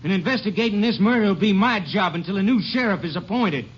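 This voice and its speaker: An actor playing a corrupt, scheming constable, cold and grasping, in a 1950s radio drama. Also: male voice, high-pitched, expressive, fast. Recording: muffled, noisy vintage radio recording.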